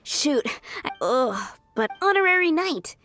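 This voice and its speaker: Young game adventurer, high voice, lively intonation, strained, halting, faint music behind. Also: female voice, exaggerated voice. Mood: strained, earnest. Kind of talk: video game voice acting.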